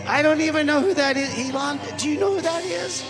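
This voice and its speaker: A man's voice: very high-pitched, fairly quick, gravelly, over music. A man playing a folksy wheeler-dealer in a comedy radio sketch. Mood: chummy, dealing.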